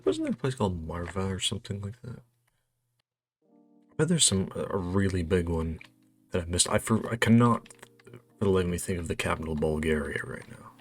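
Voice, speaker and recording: A man's voice: low-pitched, animated, normal speed, long pauses, slightly rough, faint music behind. A man with a North American accent thinking aloud as he plays a geography quiz, musing hesitantly and muttering to himself in a casual commentary. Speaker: host playing a geography quiz.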